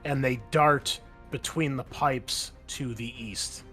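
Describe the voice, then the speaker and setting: A man running a tabletop game as its game master and host, easygoing and quick to laugh, often pausing with ums. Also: medium pitch, steady tone, a bit bright, soft background music.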